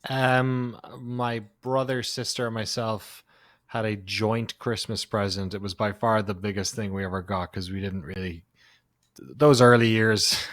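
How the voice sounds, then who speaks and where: Easygoing male co-host in his thirties, lower voice, dry close sound. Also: a bit bright, no echo. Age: 30s. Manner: easygoing, laughs a little as he talks. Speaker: podcast co-host. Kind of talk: casual chat, telling a childhood story.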